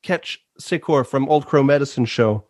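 A man's voice: medium pitch, moderate pace, darkish tone, no echo. Easygoing man from Switzerland, very dry sound. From French-speaking Switzerland. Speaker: podcast guest. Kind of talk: casual conversational interview.

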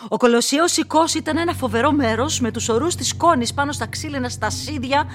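A woman's voice: high voice, a little hiss or hum. A woman narrating a horror radio play in a dramatic, literary style. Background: music.

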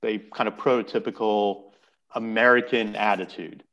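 A man's voice: lowish voice, steady tone, normal speed, fairly bright tone, dull audio. A man speaking as a podcast guest, explaining earnestly and often restarting his words. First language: English. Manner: earnest, explanatory, repeats words while thinking. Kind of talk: casual podcast conversation.

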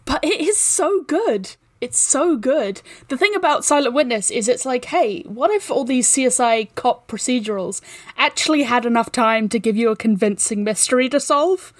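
A woman's voice: on the high side, animated, moderate pace, no echo, studio quality. A woman chatting as a podcast guest in a playful, wry and enthusiastic way. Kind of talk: casual chat.

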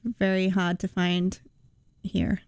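A woman's voice: lowish voice, completely flat delivery, takes it slowly, a little mellow, smooth, dry recording, studio quality. A woman with an Australian accent, a guest chatting in a friendly, easy way on a podcast. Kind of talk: casual chat.

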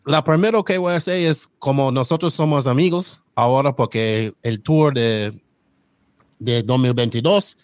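Host, male, native English speaker, halting Spanish with English slips, dry close sound. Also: mid-range voice, no room sound. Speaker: podcast host. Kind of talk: casual podcast intro.

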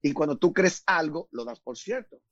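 Male radio guest, husky slightly high voice, dry muffled sound, earnest and emphatic. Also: expressive, normal speed, fairly bright tone, dry recording. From Dominican Republic. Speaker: guest speaker. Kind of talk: radio talk interview.

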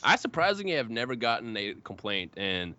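A man with a very loud voice, a podcast guest talking with enthusiastic, laid-back slang. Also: medium pitch, sing-song, fairly quick. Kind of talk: casual podcast chat. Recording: dry, slightly dull podcast audio.

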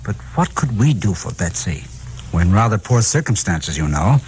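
A man's voice: fairly low pitch, sing-song, moderate pace, a little mellow, a little hiss or hum, low-quality recording. A man acting in a 1950s radio drama as a calculating husband who talks persuasively and placatingly, feigning reasonableness.

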